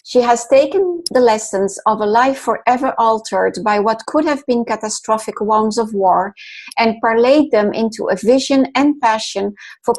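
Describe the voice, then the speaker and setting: A woman's voice: fairly high pitch, a little mellow. A woman hosting an interview show, reading an introduction and then encouraging her guest with short affirmations. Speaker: podcast host, mentor.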